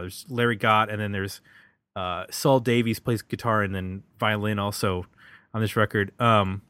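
An American man talks through music in a casual podcast style, in a middling pitch. He is reflective and halting, working out his thoughts as he goes, and the sound is dry with very little room. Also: a bit bright, no room sound. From United States. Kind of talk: casual album discussion.

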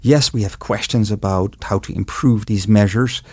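A middle-aged man with a Dutch accent, giving measured, explanatory interview answers with some hesitations. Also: on the low side, a little mellow, slightly rough, no echo, studio quality. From Netherlands. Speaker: guest road ecologist.

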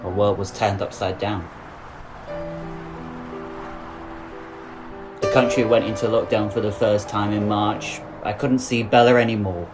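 An actor playing a distraught, bitter suspended policeman in an audio drama, speaking in an anguished, halting way. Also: lowish voice, fairly even intonation, over music, close to an everyday voice, low-quality recording. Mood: grieving, bitter. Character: distraught suspended young policeman, bitter, guilt-ridden lover. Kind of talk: audio drama monologue and dialogue.

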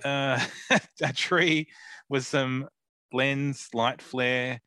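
A man's voice: medium pitch, steady tone, very slow, bright, forceful tone, dry recording. A man co-hosting a podcast, describing photos in a hesitant way, full of ums and easy laughs. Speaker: podcast co-host. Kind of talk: casual chat describing photos.

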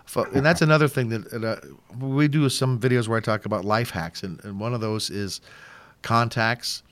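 A man's voice: medium pitch, moderate pace, a bit bright, no echo. A man hosting a podcast interview, chatty and joking, rambling with frequent restarts. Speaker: podcast host. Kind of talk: casual interview chat.

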